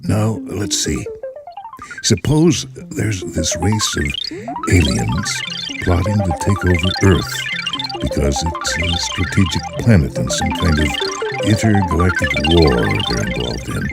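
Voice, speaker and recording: A man's voice: low voice, sing-song, a little slow, over loud music, heavily acted. A man voicing a broke, anxious male writer in a story, hesitant and muttering as he thinks aloud. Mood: excited brainstorming.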